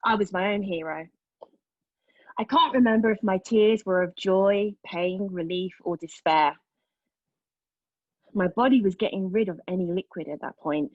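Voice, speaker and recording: A slightly dull, very dry recording of a wounded, defiant woman played by an actress in a stage monologue. She speaks candidly and haltingly at a middle pitch, with bitter, sardonic edges. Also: dry recording, lightly acted. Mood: reflective, sardonic. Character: wounded, defiant woman confessing a painful past. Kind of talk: dramatic monologue at a table read.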